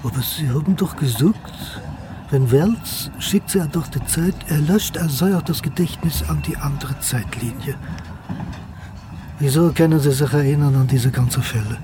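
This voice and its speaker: An actor playing an anxious, bewildered foreign deportee in a German radio drama, questioning in halting, non-native phrasing. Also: male voice, on the high side, noisy background, close to an everyday voice.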